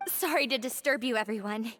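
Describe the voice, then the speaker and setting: A woman's voice: on the high side, brisk, pushed and bright, a little hiss or hum. An actress playing a sincere young woman prisoner in love in a video game, polite and tentative. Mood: polite, hopeful. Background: background game music.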